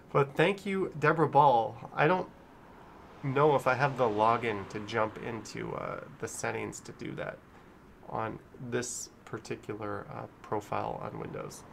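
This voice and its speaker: A man's voice: medium pitch, measured pace, vocal fry. Casual male livestreamer with faint background noise. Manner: chatty, informal, reads out viewer messages. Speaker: livestream host. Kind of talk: casual live commentary and chat reading.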